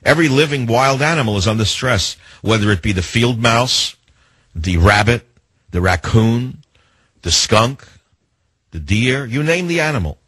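A radio talk show host, a man, delivering an indignant monologue full of rhetorical questions, slowing down to hammer his points. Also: medium pitch, expressive, a bit bright, slightly rough, dry recording, low-quality recording. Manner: slows down to hammer lists and key phrases.

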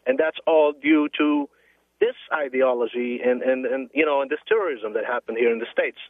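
A man from Morocco calling in to a radio show, earnest and a little halting. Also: mid-range voice. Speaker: caller. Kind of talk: call-in radio conversation. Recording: tinny phone line on radio.

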